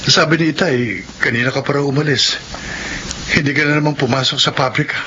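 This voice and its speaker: A man's voice: on the high side, brisk, bright, forceful tone. Serious Filipino father, noisy recording. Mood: worried. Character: earnest, caring, worried husband and father. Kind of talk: Filipino radio drama acting. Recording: muffled, noisy radio drama recording.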